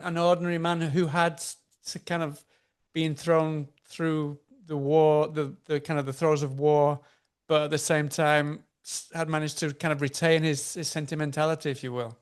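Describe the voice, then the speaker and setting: Stammering male interviewer, higher voice. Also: steady tone, moderate pace, clear, resonant tone. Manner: hesitant, stammering questions with many fillers. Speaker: interview host. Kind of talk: conversational interview.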